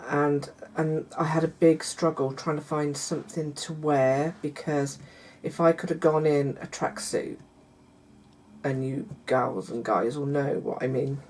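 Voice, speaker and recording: A middle-aged woman, chatting casually to her viewers in a croaky voice, hesitant with many fillers and restarts. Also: sits low, fairly even intonation, measured pace, slight background noise, rough recording. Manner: warm confiding tone toward viewers. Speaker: vlogger. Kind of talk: casual chat to camera.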